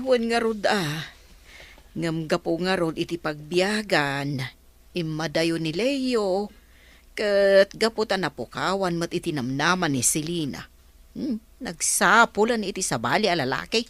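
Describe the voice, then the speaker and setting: Maalalahaning babaeng Ilocano, tuyong tunog. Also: female voice, on the low side, expressive, measured pace, no room sound. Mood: reflective. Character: sympathetic woman concerned for a heartbroken relative. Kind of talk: radio drama acting.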